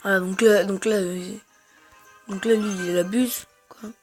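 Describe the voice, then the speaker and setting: A man's voice: high voice, steady tone, unhurried, faint music behind. A man with a head cold giving rambling, hesitant gameplay commentary to his viewers. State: has a head cold, congested. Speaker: gamer.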